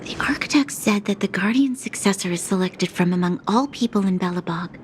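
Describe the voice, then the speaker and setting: A woman's voice: medium pitch, a little fast, background noise, lightly acted. A woman playing an earnest, dutiful young noblewoman in a game cutscene, speaking in a proper, formal and serious manner. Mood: solemn, troubled. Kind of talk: English-dubbed game cutscene acting.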